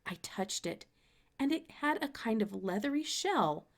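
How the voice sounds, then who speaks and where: A woman reading a children's storybook aloud in an expressive narrating style. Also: a little high, a little slow. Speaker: storybook reader.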